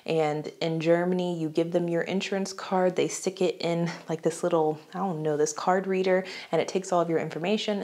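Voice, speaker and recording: Young american woman vlogging at home, bit stuffy from a cold, lowish voice, lapel mic. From United States. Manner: chatty, animated, laughs often, gestures with her hands. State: slightly congested from a cold. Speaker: YouTuber. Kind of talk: casual storytelling vlog. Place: small home room indoors.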